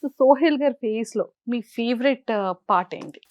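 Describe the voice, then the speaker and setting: A woman's voice: a little high, expressive, moderate pace, no room sound, highs cut off. A woman interviewer hosting a casual celebrity chat, speaking Telugu with some English.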